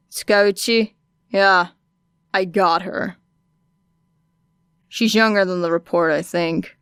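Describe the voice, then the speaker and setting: Woman doing a gruff, gravelly, weary male hero. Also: lowish voice, no room sound, clean studio sound, heavily acted. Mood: weary, businesslike. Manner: gruff, laconic, deadpan. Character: gruff, weary, terse male hero, adult vigilante-catcher.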